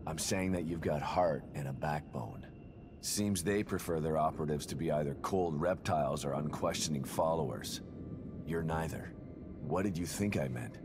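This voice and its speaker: A man playing a gruff, weary, determined game protagonist, speaking tersely and dryly in a low voice. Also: normal speed, over music. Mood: weary, wry. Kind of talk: video game cutscene acting.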